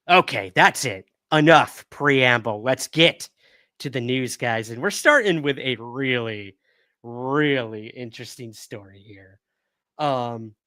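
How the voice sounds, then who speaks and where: Guy hosting a live podcast, calm easy voice, upbeat chat w/ viewers, dry close studio mic. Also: mid-range voice, dry recording. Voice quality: calming voice. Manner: upbeat, thanks viewers and reads chat aloud. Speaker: livestream podcast host. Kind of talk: casual livestream chat with viewers. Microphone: new studio microphone.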